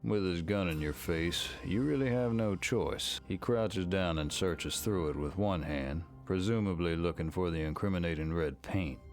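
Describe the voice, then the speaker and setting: A man's voice: low-pitched, normal speed, hardly any pauses. A man reading scripted second-person narration for a visual novel story game. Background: faint music.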